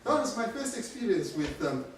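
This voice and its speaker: Middle-aged man from Zimbabwe, storyteller, fairly high voice, heard from a distance in a very echoey room. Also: very animated, moderate pace, slight background noise. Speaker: storyteller at a community workshop. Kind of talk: personal storytelling. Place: community centre room.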